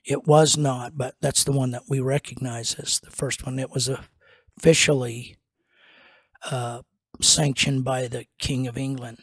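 An older man, a pastor and podcast host, teaching in a slow, rambling way with many fillers and restarts, on a studio mic in a small wood-paneled room. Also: mid-range voice, level tone, husky, no room sound. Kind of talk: unscripted teaching talk. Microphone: studio mic on a boom arm.

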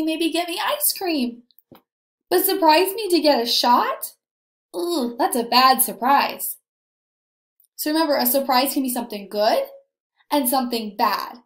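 A young woman teacher leading a read-aloud lesson for young children, animated and encouraging, asking questions and pausing for answers. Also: high voice. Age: young adult. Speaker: early elementary teacher. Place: indoor room, echoey. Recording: echoey room.

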